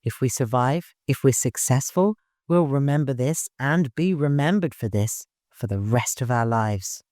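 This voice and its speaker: Male narrator as a shrewd commanding leader, mid-pitched and wry, for an audiobook adventure. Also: lively intonation, unhurried, darkish tone, dry recording, studio quality, natural voice. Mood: commanding, rousing.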